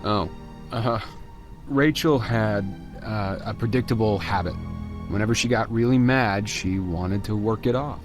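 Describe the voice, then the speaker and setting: A man's mid-pitched to slightly low voice, an actor as a curt suspect in a crime video game. He is defensive and detached, talking in blunt slang, with music clearly playing behind him. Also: measured pace, close to an everyday voice. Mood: evasive, matter-of-fact. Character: blunt, defensive male murder suspect, bereaved fiancé.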